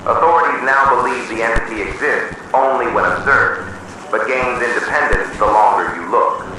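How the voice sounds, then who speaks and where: A man reading a scripted horror emergency announcement in a solemn, measured, official manner. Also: mid-range voice. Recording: distant, heavily echoing, noisy emergency broadcast.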